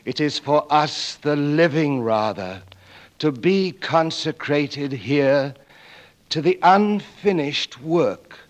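Radio drama valet: English, loyal, polite, torn, unhurried and measured. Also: male voice, fairly high pitch. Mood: fervent. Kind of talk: 1940s radio acting.

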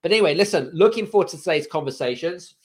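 A man hosting a podcast with a croaky voice, energetic and rambling, with many fillers and restarts. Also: a little high, fast talker. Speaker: podcast host. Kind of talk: casual chat.

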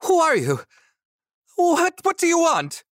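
A man voicing a frightened young man in a story, nervous and stammering, in a shrill voice. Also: fairly even intonation, slow, darkish tone, slightly rough, no room sound, studio quality. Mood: terrified shouting. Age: young adult.

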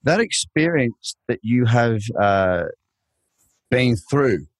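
A man's voice: mid-range voice, lively intonation, slow, no echo. A man hosting an interview, asking long encouraging questions in a chatty, rambling way. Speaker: podcast host.

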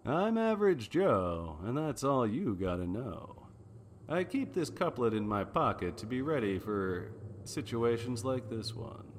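A man's voice: mid-range voice, a little slow, long reverb tail, a little hiss or hum. A man playing a wry, wisecracking private detective, narrating in the style of a 1940s noir radio drama. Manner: wry, deadpan.

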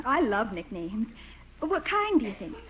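A woman's voice: on the high side, very animated, a little slow. An actress playing a sweet, independent young woman in a genteel, earnest 1940s radio comedy style. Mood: bright, polite. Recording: muffled, noisy vintage radio recording.

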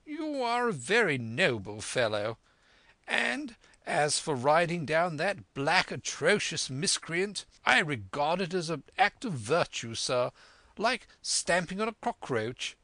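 A man's voice: a little high, very animated, slow pace, sharp tone, dry recording, pitched above the performer's own voice, exaggerated voice. A man voicing a blustering, hot-headed, pompous English squire in a storybook reading, exclamatory and emphatic. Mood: hearty, approving. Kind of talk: audiobook character voice.

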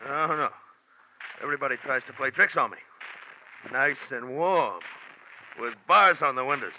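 An actor playing a menacing, confused madman in a 1940s radio crime drama, halting and muttering, then breaking into outbursts. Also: mid-range voice, sharp tone. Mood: agitated, unstable. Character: ugly, wild-eyed, unhinged escaped mental patient, menacing and confused. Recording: muffled, lightly noisy 1940s radio recording.

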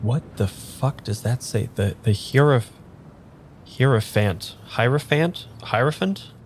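A man's voice: mid-range voice, animated, a little slow, slight background noise, natural voice. A man in an improvised audio drama playing a frantic, foul-mouthed amnesiac who stammers and mutters as he works through tarot cards. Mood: frustrated muttering. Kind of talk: improvised audio drama, halting naturalistic delivery.

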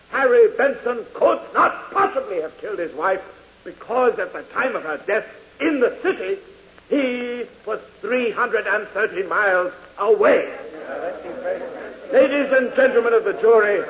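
An actor playing an impassioned defense lawyer addressing a jury in 1940s radio acting on a dull old recording. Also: male voice, sits high, animated, unhurried, big-room sound. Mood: pleading. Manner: oratorical, pleading.

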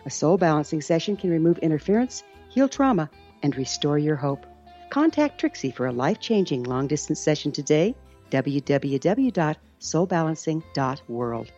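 Mellow low-voiced woman, lively intonation. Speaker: advertisement announcer. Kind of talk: scripted promotional narration. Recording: slightly dull recording, soft music bed.

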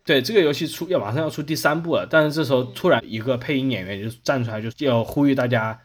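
A man's voice: mid-range voice, fast talker. A man speaking as a podcast host in casual conversation. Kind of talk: casual chat.

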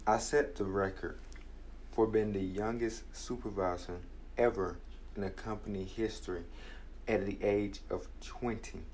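Slow, earnest young man. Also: lowish voice, fairly even intonation, darkish tone, slight background noise, poor audio. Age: 20s. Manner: earnest, measured, reflective. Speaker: podcast host. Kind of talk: motivational personal talk.

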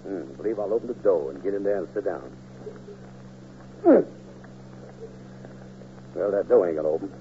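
Gullible fellow on tinny old radio. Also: male voice, low-pitched, lively intonation, moderate pace, pauses often, a little hiss or hum. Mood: puzzled, resigned. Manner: folksy ungrammatical talk, dim. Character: gullible, slow-witted man, easily riled and blustery. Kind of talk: 1940s radio comedy acting.

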